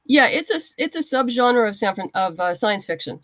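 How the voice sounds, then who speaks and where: A woman's voice: fairly high pitch, sing-song, brisk, a bit bright, no room sound. A woman being interviewed as a writer, giving explanatory, conversational answers.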